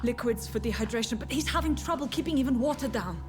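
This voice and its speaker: A brisk nurse, voiced by an actress, reports a patient's symptoms in a clinical, businesslike manner in a period game. Her voice is fairly high, with music underneath. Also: lively intonation, close to an everyday voice. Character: brisk female hospital nurse. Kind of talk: video game voice acting.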